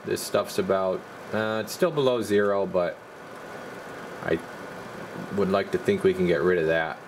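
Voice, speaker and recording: A man with a low, gravelly voice casually talks through a hands-on repair as a technician, plain-spoken and thinking aloud. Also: unhurried, noisy background. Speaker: radio repair technician presenting his work.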